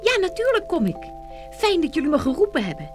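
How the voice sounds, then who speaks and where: A woman's voice: very high-pitched, wildly swooping pitch, brisk, soft background music, heavily acted. A woman playing an eager, caring little girl with a childlike manner in a children's fairy-tale audio play. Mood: delighted, curious. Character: lively, caring little girl, curious and bossy.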